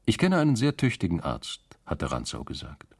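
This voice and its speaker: A man narrating literary fiction as an audiobook reader, deliberate and dramatic in his storytelling. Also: deep voice, huge pitch swings, vocal fry, slightly rough, soft background music. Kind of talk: literary narration with acted dialogue.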